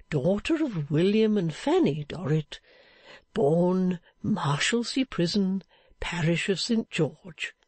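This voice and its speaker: Kindly old church man, done by a female narrator, stout, chatty, very slow, swooping intonation, storybook character. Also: on the low side, darkish tone, breathy edge, no echo, voice pushed up, heavily acted. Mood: admiring, solicitous. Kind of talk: dramatized audiobook reading of a classic novel.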